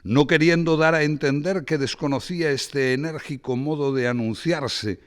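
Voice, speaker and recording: Expressive male reader with lively intonation. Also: medium pitch. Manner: expressive, dramatic phrasing with ironic asides. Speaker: reader of a literary essay. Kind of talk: theatrical literary reading.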